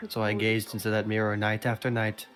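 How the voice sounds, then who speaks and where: A man's voice: completely flat delivery, a little fast, natural voice. A man voicing a melancholy young noblewoman who solemnly tells her story in an amateur game dub. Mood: mournful. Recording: low-quality game capture under music. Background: game music.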